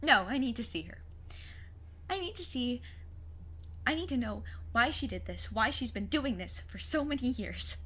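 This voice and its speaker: A woman playing a plucky, earnest fifteen-year-old witch's apprentice in an audio drama, with a husky voice and formal, precise wording. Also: on the high side, lively intonation, normal speed, long pauses, a bit bright. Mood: shaken, distressed. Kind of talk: scripted audio drama acting.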